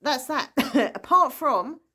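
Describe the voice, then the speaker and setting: A woman's voice: high voice, lively intonation, slow pace, sharp tone, slight background noise. A woman, a book vlogger talking in a casual, rambling and enthusiastic way. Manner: rambling, enthusiastic, full of fillers and small laughs. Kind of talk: casual chatty book talk.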